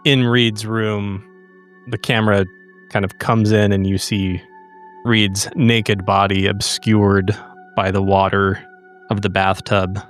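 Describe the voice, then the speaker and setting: Slow, flat-voiced man narrating a scene. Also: fairly low pitch, soft background music. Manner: slow, measured scene-setting. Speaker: player. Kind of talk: cinematic narration of his character.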